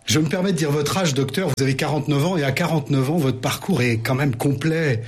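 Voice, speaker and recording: A man conducts a formal interview, his voice very husky and breathy. He asks long, courteous questions that wind around, with small repetitions as he goes. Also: on the high side, fast.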